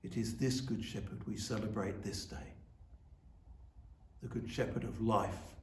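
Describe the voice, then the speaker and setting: A man giving a reflective scripted sermon as a church officiant, solemn and measured in his phrasing. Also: on the low side, steady tone. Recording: echoey room, distant microphone.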